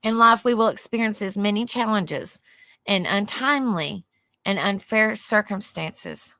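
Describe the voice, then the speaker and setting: A woman with a Southern US accent and a soft, soothing voice hosts a livestream. She chats in a rambling way, reads aloud and answers viewer comments. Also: medium pitch, unhurried, no echo. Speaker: livestream host. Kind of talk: casual livestream chat, reading aloud.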